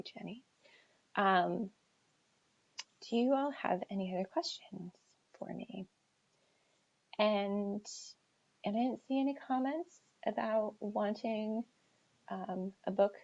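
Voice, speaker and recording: An American woman chatting casually as an author on a livestream Q&A, thinking aloud in a halting, reflective way and often trailing off. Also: fairly low pitch, creaky, a little mellow, slightly rough. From New Hampshire seacoast, United States. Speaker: author answering audience questions. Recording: dull lo-fi livestream audio.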